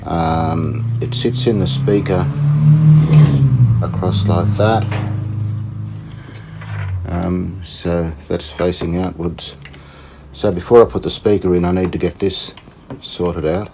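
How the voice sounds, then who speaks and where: A man giving casual hands-on repair commentary, unhurried and tentative, as if thinking aloud. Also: low-pitched, steady tone, vocal fry, noisy background. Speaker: host of a radio repair channel.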